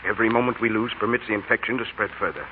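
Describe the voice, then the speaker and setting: An actor playing a stern but kindly country doctor in 1940s radio style, grave and authoritative. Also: male voice, fairly low pitch, fairly even intonation, very fast, natural voice. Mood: firm, resigned. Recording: noisy, tinny 1940s radio recording.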